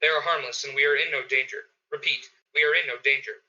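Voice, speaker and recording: An urgent civil emergency announcer in a radio drama, played by a man. The voice is very bright and forceful, fairly high, with a lo-fi dullness to the recording. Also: steady tone, fast. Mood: official, urgent. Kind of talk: repetitive emergency broadcast announcement. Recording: dull lo-fi audio.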